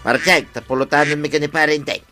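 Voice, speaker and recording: A scheming, cheeky village fellow in a radio comedy. The voice is high for a man, sharp and bright, with an Ilocano accent and faint music behind it. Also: steady tone, quick pace. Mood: argumentative.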